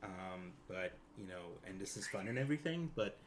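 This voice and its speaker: A man's voice: low voice, expressive, brisk. A man playing a tabletop roleplaying game, talking in an informal, slightly hesitant way. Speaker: player. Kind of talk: casual chat in a tabletop game. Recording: faint background noise.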